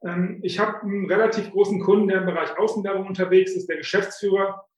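High-voiced man in an echoey room. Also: flat delivery, fast. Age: 50s. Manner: chatty, self-deprecating, frequent filler sounds. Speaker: guest, author coach. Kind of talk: casual interview storytelling.

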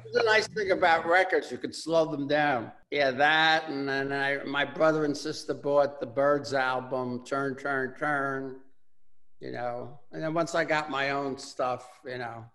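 An older man with a Bronx accent, a guitarist talking about his music in a casual interview, relaxed and rambling with many fillers. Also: mid-range voice, a little slow. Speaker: guitarist guest.

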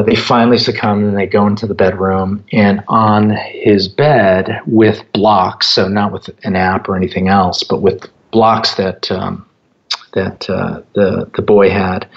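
A middle-aged American man, a native Japanese speaker, talking as an interview guest in a conversational storytelling way, with deliberate, hesitant phrasing. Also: fairly low pitch, little pitch movement, dull audio. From born in Japan, grew up in the United States from age four. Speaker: interview guest, app company founder.

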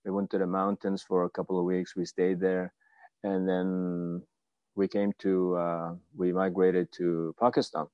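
A man in his fifties from Afghanistan telling his own story as an interview guest, with a halting, hesitant delivery. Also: low-pitched, level tone, clean tone, dry recording, dull audio. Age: 50s. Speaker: guest, martial arts teacher.

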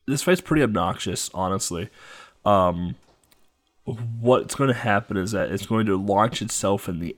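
Low-voiced man chatting over a game. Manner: rambling, laughs often, many fillers. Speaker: game commentator. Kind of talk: casual retro game playthrough chat.

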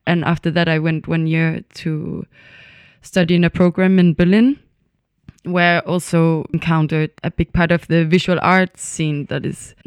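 Thoughtful young dancer, smooth voice. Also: mid-range voice, a little mellow, dry recording. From Denmark. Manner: thoughtful, hesitant, many pauses and fillers. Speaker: dance student. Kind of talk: reflective conversation.

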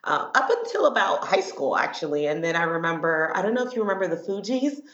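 A woman in her thirties from Miami with a low voice talks as a guest in a casual interview. She is informal and reflective, and the sound is roomy and somewhat muffled. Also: sing-song, fast talker, a bit bright. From Miami, Florida, United States. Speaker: interview guest, corporate lawyer.

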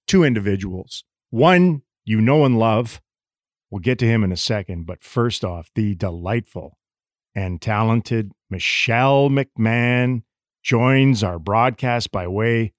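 A man's voice: medium pitch, no echo, clean studio sound. A middle-aged man hosting a podcast, teasing his guests and stumbling over words. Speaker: podcast host. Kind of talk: casual podcast chat.

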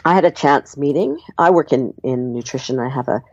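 A woman's voice: a bass voice, a little fast, darkish tone, husky, no echo, highs cut off. A woman from Canada with a mixed Canadian and Australian accent, chatting as an interview guest and telling her story with lots of fillers. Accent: Canadian with Australian tinge. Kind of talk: casual conversational interview.

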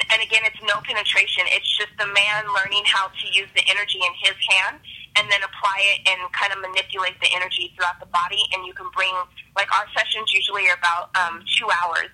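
Very bright-voiced woman explaining casually. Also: medium pitch, rough recording. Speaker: guest expert on tantra.

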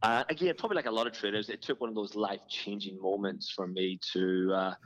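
A middle-aged man from Ireland with an Irish accent, talking as a guest in a relaxed, chatty way, often restarting his sentences. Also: on the low side, quick pace, dull audio. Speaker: guest, fitness business founder. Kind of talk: conversational interview.

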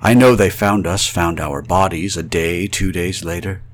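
A man's voice: sits low, fairly even intonation, moderate pace, close to an everyday voice. Theatrical, anguished ghost of a man, acted out. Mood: haunted, grave. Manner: theatrical, florid, swelling from hushed to frantic cries. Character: anguished male spirit trapped outside his undead body. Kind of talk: old-fashioned melodramatic horror radio monologue.

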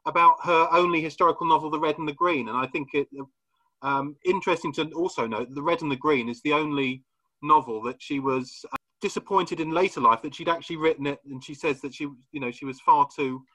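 Bright, husky-voiced male podcast host. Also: on the high side, fairly quick, low-quality recording. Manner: fluent but restarting, repeats words as he builds a point. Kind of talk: academic podcast discussion.

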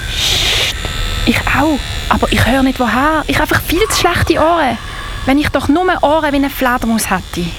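A woman's voice: high-pitched, moderate pace, background noise, natural voice. A woman playing a curious, plucky young girl in a children's Christmas radio play, speaking. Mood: alert, curious.

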